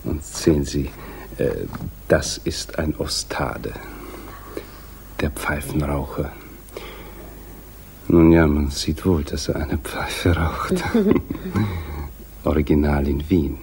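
An ailing, bookish gentleman in his late forties with a very deep voice. He muses slowly and hesitantly, wry and resigned, sometimes almost whispering. It is 1950s radio drama with faint noise on the recording. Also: vocal fry, full character voice. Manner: hesitant, musing, half-whispering asides.

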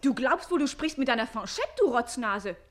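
An actress playing a saucy, quick-witted young maid in a radio comedy, pert, mocking and sharp-tongued. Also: female voice, high voice, lively intonation. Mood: scolding. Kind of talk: theatrical radio comedy acting.

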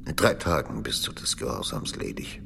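An actor playing a stern, solemn monastery superior who speaks formally and severely in a radio drama. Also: male voice, rumbling low, sing-song, moderate pace, gravelly, in a whisper, heavily acted. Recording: long hall reverb. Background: music.

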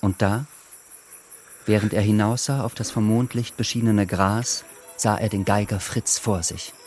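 A man's voice: lowish voice, fairly even intonation, unhurried, darkish tone. A man narrating a literary radio drama in a scripted storytelling style. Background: faint music.

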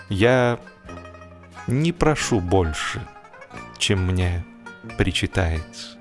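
A man narrating a humorous first-person story expressively, with theatrical, drawn-out emphasis on key phrases. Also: mid-range voice. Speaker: audiobook narrator.

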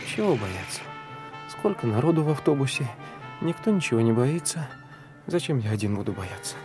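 An actor playing an anxious young police trainee thinking to himself in hurried, introspective lines of a Soviet radio drama. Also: male voice, medium pitch, big pitch swings, over loud music, lightly acted. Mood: nervous, self-reassuring.

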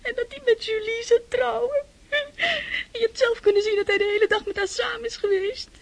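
Very high, tearful young girl. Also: little pitch movement, a little fast, vocal fry, no room sound. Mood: sobbing, hurt. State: crying. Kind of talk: radio play acting.

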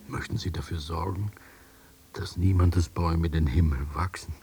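A sly, probing confidant in a radio drama. His voice is low and extremely husky, almost breathed. He needles with dry, ironic questions. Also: steady tone, normal speed, a little mellow.

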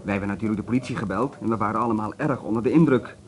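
A man's voice: fairly low pitch, racing, lightly acted. An actor playing a courteous, deferential hotel barman in a radio drama. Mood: apologetic, reassuring. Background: music.

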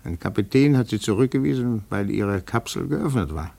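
An actor playing a shrewd, insinuating male investigator in a 1950s German radio play, musing deliberately and asking pointed questions. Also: on the low side, animated, vocal fry, mellow. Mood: measured, cautious.

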